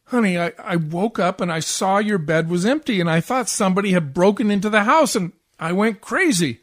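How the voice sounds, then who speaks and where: Casting: man playing a henpecked 1940s radio-comedy husband, weary and sleepy, exasperated, quick with sarcastic wisecracks. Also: high voice. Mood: distraught.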